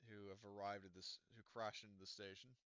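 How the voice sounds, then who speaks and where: A man voicing a wary, formal male prison warden, speaking in a low, smooth voice close to his own. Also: level tone, fairly quick, a bit bright, no room sound, natural voice.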